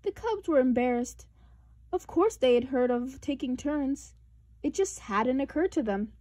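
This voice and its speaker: A woman reading aloud as a children's storybook narrator, expressive and with deliberate emphasis. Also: high voice, no room sound. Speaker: storybook reader.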